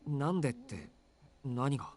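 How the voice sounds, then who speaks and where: A man's voice: medium pitch, lively intonation, quick pace, darkish tone, lightly acted. A man voicing a weary, blunt teenage boy in a visual novel game, speaking in a curt, exasperated way. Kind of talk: Japanese game voice acting.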